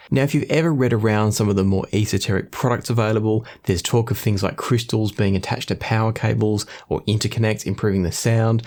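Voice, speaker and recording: A man hosting an audio review, explaining things in a measured, conversational way. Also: fairly low pitch, darkish tone. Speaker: host of an audio review show. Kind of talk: scripted-sounding product review to camera.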